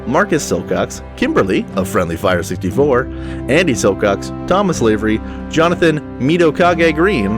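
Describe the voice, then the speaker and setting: A man delivers a scripted announcer read of opening credits. His mid-pitched voice swings with lively intonation, and music plays clearly behind him. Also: normal speed.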